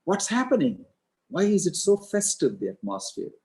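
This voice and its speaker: A scheming, scornful old hunchbacked servant woman, as a male storyteller puts her on, pitched well above his own voice and scolding hard. She speaks in insistent, urgent bursts, pressing every word home. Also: lively intonation, unhurried, darkish tone.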